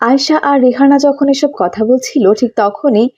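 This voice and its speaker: Give me a female storyteller for a cartoon, high-pitched with a soft, warm tone. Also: moderate pace, husky, lo-fi sound. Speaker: cartoon narrator. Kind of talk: scripted story narration.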